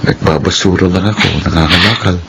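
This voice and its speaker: Poor honest young Filipino scavenger: low, earnest, self-effacing, noisy radio drama recording. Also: male voice, steady tone, a little fast, fairly bright tone. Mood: tender, apologetic. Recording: clear background noise and ambience.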